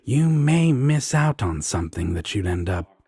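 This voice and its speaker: Casting: male actor as laid-back, protective devil roommate for comfort roleplay; deep, very husky, unhurried and affectionate. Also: big pitch swings, mellow, no echo, full character voice. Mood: gently reassuring. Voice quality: low, breathy, husky. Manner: drawling, affectionate, casual reassurance. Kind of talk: intimate roleplay audio acting.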